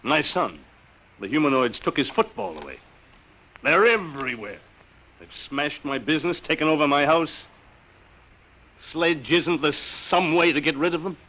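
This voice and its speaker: Bitter, animated ordinary businessman. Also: fairly high pitch, moderate pace, pauses often, full character voice. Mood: troubled. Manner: sardonic, plain-spoken. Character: bitter, beaten businessman and worried father. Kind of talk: 1950s radio drama acting. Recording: muffled, band-limited old radio recording.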